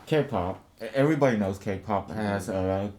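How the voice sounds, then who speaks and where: A man's voice: on the low side, a little slow, a little mellow. A man co-hosting a casual podcast discussion, rambling with many restarts and fillers. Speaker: podcast co-host.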